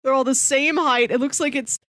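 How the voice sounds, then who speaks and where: An American woman co-hosting a casual film discussion podcast, with an animated, storytelling way of talking. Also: sits high, a little fast. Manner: animated storytelling, laughing through sentences, voices imagined quotes. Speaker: podcast co-host.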